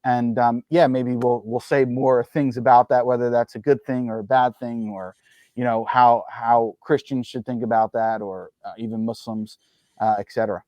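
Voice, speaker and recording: A man's voice: medium pitch, flat delivery, normal speed, no room sound, dull audio. A man from New Jersey talking as an interview guest, explaining his views carefully with many ums and uhs and careful qualifications.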